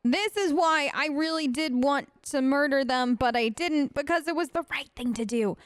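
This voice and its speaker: A woman's voice: high voice, normal speed, dry recording, clean studio sound. A woman hosting a role-play game let's play, talking casually in a sassy, rambling way. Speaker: gaming host, role-playing her character.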